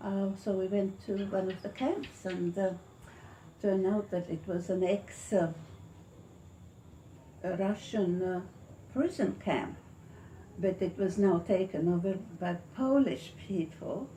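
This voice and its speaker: A woman in her eighties with a Latvian accent, recalling her life in an interview, haltingly and searching for words. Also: lowish voice, warm tone. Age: 80s. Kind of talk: oral history reminiscence. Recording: echoey room with faint noise.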